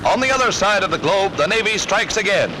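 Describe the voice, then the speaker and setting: A man's voice: high voice, lively intonation, a little fast, very noisy. A man narrating a 1940s wartime newsreel in a punchy, emphatic delivery. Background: loud orchestral music.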